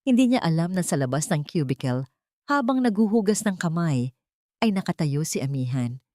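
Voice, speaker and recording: A woman's voice: low voice, big pitch swings, moderate pace, dark tone, no room sound, studio quality. A woman narrating a scripted dramatic story in an audiobook style.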